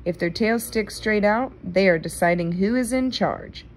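A woman reading a children's nonfiction book aloud in a careful, deliberate manner. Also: mid-range voice, a little hiss or hum. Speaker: read-aloud reader.

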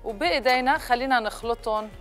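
A woman's voice: fairly high pitch, fast, fairly bright tone. A woman in her thirties with a Lebanese accent, presenting a recipe to camera and mixing in some English words. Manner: mixes English words into speech. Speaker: cooking show host. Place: kitchen set, indoors. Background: music.

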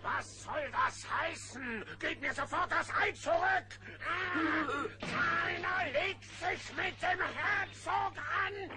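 An actor playing an imperious, short-tempered villainous duke in a German children's cartoon audio play. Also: male voice, very high-pitched, slow pace, few pauses. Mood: furious. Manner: imperious, shouting orders. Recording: noisy old cassette recording.

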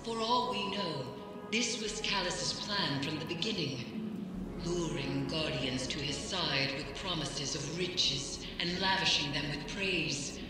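A woman's voice: low voice, heavy reverb, long reverb tail. A woman playing a grim, foreboding mystic in a video game, speaking solemnly and ominously. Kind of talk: scripted game dialogue.

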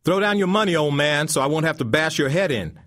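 A man putting on rough street thugs from a storybook, loud, menacing and threatening. The voices ride well above his deep natural tone, pushed and forceful with a rough street drawl. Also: normal speed, natural voice. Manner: shouting, rough street talk.

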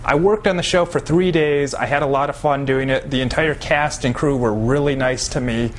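A man's voice: medium pitch, steady tone, normal speed, slight background noise. A young adult man giving a casual, informal introduction to camera while seated in a living room. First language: English. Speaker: video presenter, actor.

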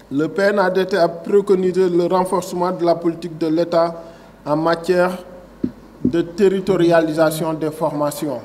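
A man's voice: sits high, a little mellow. A man in his forties with a Senegalese French accent, speaking at a signing ceremony in a conference room. He moves from reading a prepared text to speaking off the cuff, with many fillers and restarts. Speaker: agency director giving a speech. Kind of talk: formal speech at a signing ceremony. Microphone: table microphone.

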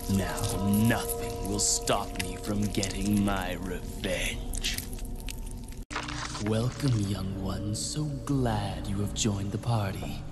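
An actor voicing a vengeful, sinister male video game villain, menacing and gloating in a theatrical monologue. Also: on the low side. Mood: mocking. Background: dramatic music.

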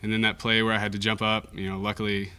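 A young man, a college baseball pitcher, giving modest, understated answers at a post-game press conference. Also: flat delivery, quick pace, bright, forceful tone, clean tone. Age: young adult. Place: indoor press conference room. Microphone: table microphone.

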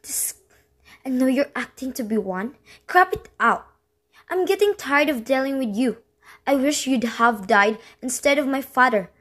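A woman's voice: sits high, expressive, measured pace, fairly bright tone, heavily acted. A woman playing a troubled, defiant teenage daughter who tells her story in an amateur radio drama. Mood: angry, defiant.

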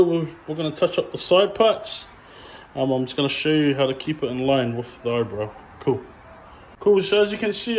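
A young man, a barber, talking through a haircut tutorial in a relaxed, plain way with frequent ums. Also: on the high side.

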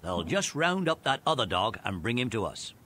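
An actor playing a brisk, reassuring police dog handler in a children's audio play. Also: male voice, mid-range voice, very animated, background noise, close to an everyday voice.

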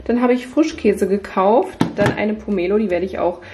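Chatty mom showing off groceries. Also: female voice, medium pitch, moderate pace, background noise. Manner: chatty, upbeat product run-through. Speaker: family vlogger. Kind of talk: casual grocery haul chat. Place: home kitchen at night.

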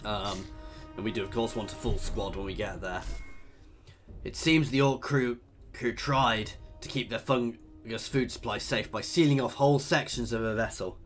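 Man, husky, hoarse with a cold, tired, casual game commentary, music in the background. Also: lowish voice, normal speed. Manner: chatty, thinking aloud with frequent ums. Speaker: gaming video host.

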